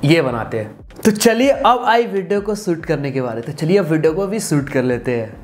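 Chatty higher-pitched young man, noisy recording. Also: sing-song, moderate pace. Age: 20s. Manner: chatty, informal, mixes in English tech words. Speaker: video tutorial host. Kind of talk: casual step-by-step tutorial to camera. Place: small indoor room with computer setup. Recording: clearly noisy room recording.

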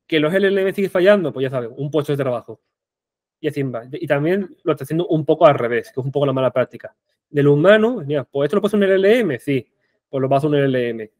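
A young man with a marked Andalusian accent, giving an animated online conference talk with rhetorical questions and frequent restarts, speaking on a headset mic in a small room. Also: on the high side, fast, no echo, studio quality. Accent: Andalusian Spanish.

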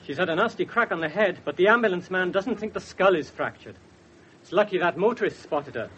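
An earnest, high-voiced constable. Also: male voice, animated, brisk, fairly bright tone. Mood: dutiful. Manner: dutiful, reporting. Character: earnest, deferential male police constable. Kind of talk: 1970s British radio drama acting. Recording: dull 1970s radio broadcast, faint noise.

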